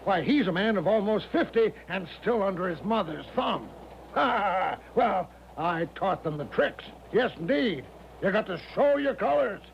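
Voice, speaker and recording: A man's voice: high voice, gravelly. An actor playing an eccentric, boastful American major in a 1970s radio drama, coaxing and reassuring with a showman's grand patter. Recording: dull, noisy 1970s radio recording.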